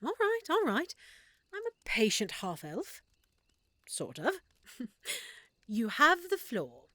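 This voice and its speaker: A woman playing an affectionate, excitable half-elf shopkeeper in a roleplay audio drama, speaking expressively and warmly to the listener. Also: high-pitched, slow, dry recording, heavily acted. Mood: curious, caring. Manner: expressive, warm, reacting with feeling.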